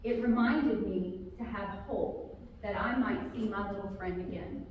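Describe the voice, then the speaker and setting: A woman author speaking and reading a children's story aloud to a group, with expressive, drawn-out emphasis on key words. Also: mid-range voice, highs cut off. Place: large reverberant room, live community gathering. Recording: very echoey hall, distant, faint noise.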